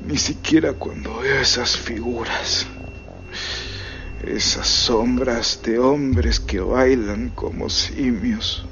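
An actor playing a weary lone man wandering the apocalypse in a radio drama, with a breathy voice and slow, poetic, theatrical delivery. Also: fairly low pitch, fairly bright tone, exaggerated voice. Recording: dull lo-fi recording under music. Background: music.

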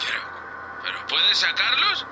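A man's voice: sits high, wildly swooping pitch, measured pace, music in the background, exaggerated voice, rough recording. A man with a Castilian Spanish accent playing a nervous, deferential young spaceship crewman in a sci-fi audio drama. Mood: bewildered, alarmed. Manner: hesitant, anxious, deferential, full of questions.

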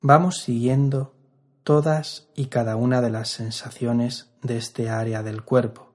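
Man, meditation instructor, calm, slow-paced, husky, long pauses. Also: medium pitch. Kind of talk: guided meditation narration.

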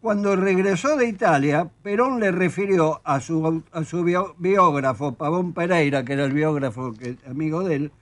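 A man's voice: fairly high pitch, normal speed, few pauses. An older man with an Argentine accent, an author being interviewed in a studio, talking emphatically and with indignation. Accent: Argentine Spanish. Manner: emphatic, indignant, reads quotes aloud. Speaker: author guest.

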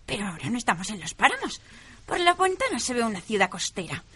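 A woman's voice: fairly high pitch, big pitch swings, fast, pushed and bright, husky, exaggerated voice. A woman playing a feisty, nosy old cleaning woman in a radio drama. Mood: matter-of-fact.

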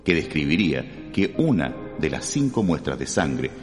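Male crime narrator: very low, expressive voice, long reverb, music underneath. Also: normal speed. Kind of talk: dramatic scripted true-crime narration.

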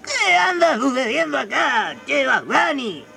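A man voicing a streetwise, teasing Argentine cartoon character in a radio play, with a very creaky voice. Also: very high-pitched, very animated, measured pace, full character voice. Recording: homemade cassette radio play, loud music.

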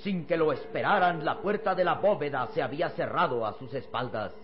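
A man's voice: a little high, fairly quick. A man narrating an old radio serial in a dramatic, emphatic, theatrical style. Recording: dull lo-fi old radio, loud music.

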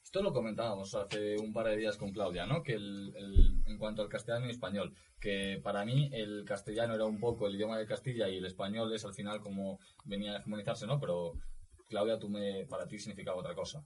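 A man's voice: fairly low pitch, steady tone, fast talker, darkish tone, slightly rough. A man hosting a radio show in casual, conversational chat. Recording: distant mic with faint noise.

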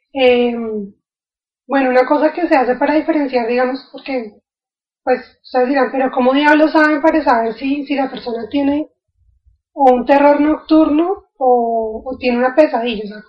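A woman's voice: high-pitched, dull audio. A woman explaining a topic in an informal video lecture, thinking aloud with fillers and restarts. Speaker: educator.

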